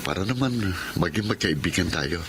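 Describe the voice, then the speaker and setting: A kind, earnest eldest brother in a Filipino radio drama, with a low voice and a Filipino accent. He sounds smitten and sincere, over clear background noise. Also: animated, moderate pace. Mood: earnest, shy.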